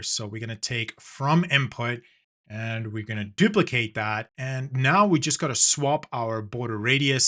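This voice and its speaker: A man from South Africa hosts a software design tutorial, explaining each click in a relaxed, step-by-step way. His voice is bright and forward, at an ordinary middle pitch. It sounds like a desk-mic voice-over. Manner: relaxed step-by-step narration with fillers. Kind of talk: screen-recorded software tutorial.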